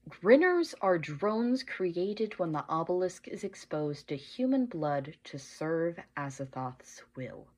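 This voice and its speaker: A woman's voice: fairly low pitch, sing-song, takes it slowly, darkish tone. A woman presenting an analytical fan theory as a prepared listener message.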